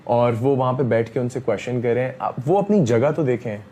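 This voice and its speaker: A man, speaking emphatically as an interview guest, repeating himself and often pausing on fillers. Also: mid-range voice, expressive, crowd noise. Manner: emphatic, repetitive, frequent fillers. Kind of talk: heated interview talk.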